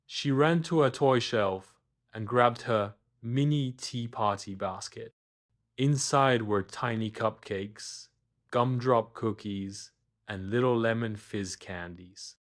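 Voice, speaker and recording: Slow-paced man narrating a bedtime story. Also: mid-range voice.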